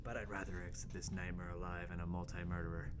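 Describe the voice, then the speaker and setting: A man's voice: deep voice, flat delivery, fast, background noise, pitched below the performer's own voice, natural voice. A man playing a jumpy young intern, narrating his horror tale in a melodramatic, ominous way for an amateur radio play. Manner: melodramatic, ominous storytelling broken by shouted sound effects.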